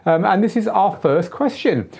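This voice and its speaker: A middle-aged man with a tired, slightly hoarse voice, hosting a live-streamed DJ Q&A from a small studio on a lapel mic, chatty, with lots of fillers and restarts. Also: high voice, lively intonation, moderate pace, a little mellow. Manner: moves around gesturing at equipment while talking. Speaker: DJ tutor and live Q&A host. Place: small home studio with shelves of gear.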